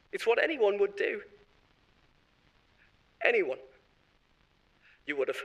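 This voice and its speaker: A frantic, blame-dodging company marketer pleads and justifies himself in a horror audio drama, voiced by a man. His voice sits high and bright and swings widely in pitch. He whines defensively and laughs nervously. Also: heavily acted, low-quality recording. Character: panicked self-serving male corporate marketer, blame-shifting. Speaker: voice actor.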